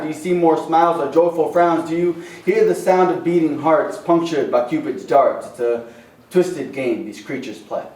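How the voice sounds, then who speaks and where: A man performing slam poetry at an open mic, impassioned and rhythmic, with dramatic pauses and rhymes. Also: fairly high pitch, darkish tone. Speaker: slam poet. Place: open mic venue with a small responsive audience. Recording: echoey live room, distant microphone.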